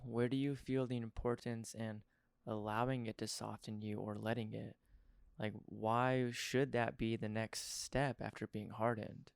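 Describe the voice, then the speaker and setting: Man, podcast host, hoarse, gravelly, earnest and effusive, dry room. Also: fairly low pitch, level tone, a little slow, no room sound. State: partly lost voice. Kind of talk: casual interview chat.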